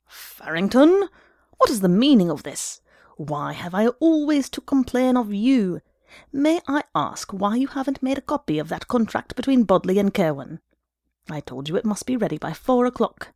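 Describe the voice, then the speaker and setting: A woman voicing a furious, shrill little office boss, a scolding and sarcastic man with a North of Ireland accent, in a story reading. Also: big pitch swings, measured pace, creaky, dark tone, dry recording, clean studio sound, exaggerated voice. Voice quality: shrill, piercing. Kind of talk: dramatised literary story reading.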